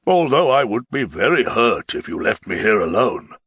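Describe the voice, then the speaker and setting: A man voicing a moody, blustering middle-aged nobleman who draws out his words and sometimes shouts. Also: on the low side, sing-song, slightly rough, no echo, studio quality, heavily acted. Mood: scornful. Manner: loud, drawn-out, exclamatory delivery, sometimes shouting.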